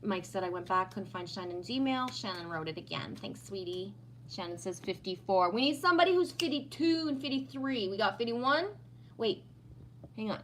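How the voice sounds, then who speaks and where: A woman's voice: mid-range voice, lively intonation, moderate pace, a bit bright, slight background noise, poor audio. Playful Texan-born woman in her fifties. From Texas. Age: 50s. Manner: playful teasing, laughs often. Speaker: livestream host reading chat. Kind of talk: casual livestream chat.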